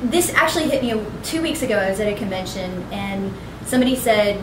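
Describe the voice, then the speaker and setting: A young woman with a bubbly, young-sounding voice, chatting in an animated way as an interview guest at a convention. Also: medium pitch, fast talker. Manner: animated, chatty, fills with ums and restarts. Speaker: voice actress, guest. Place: busy convention hall. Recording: echoey, distant, noisy convention ambience.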